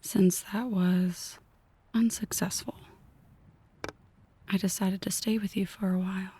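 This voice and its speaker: A woman voicing a possessive yandere mafia boss in an ASMR roleplay, slow and half-whispered, mock-affectionate and coolly menacing. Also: medium pitch, steady tone, mellow, breathy edge. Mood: teasing, menacing.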